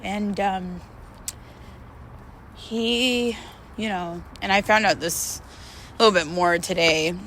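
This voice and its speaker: A woman with a mid-range voice speaks candidly, as if confiding in a vlog. Her delivery is casual and halting, and she repeats words for emphasis. There is noticeable ambient noise behind her. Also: medium pitch, fairly bright tone. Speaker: vlogger. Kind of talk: casual confessional monologue.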